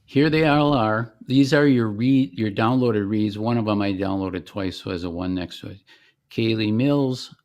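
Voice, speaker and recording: A man presenting a software demo in an informal, conversational way, as the founder showing his product on screen. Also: lowish voice, moderate pace. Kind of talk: informal screen-share demonstration.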